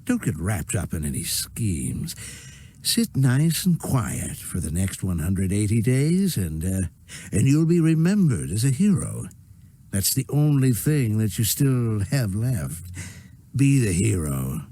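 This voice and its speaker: An actor playing an arrogant, corrupt city mayor in a video game, condescending and quietly menacing. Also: male voice, fairly low pitch, big pitch swings, slow, breathy edge, exaggerated voice. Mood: veiled threat. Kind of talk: scripted video game drama.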